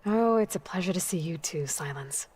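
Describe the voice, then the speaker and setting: A woman's voice: on the low side, lively intonation, normal speed, background noise, lightly acted. A woman playing a determined, guarded young warrior heroine in a video game, with firm, terse, dry delivery. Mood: sardonic. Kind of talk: dramatic video game acting.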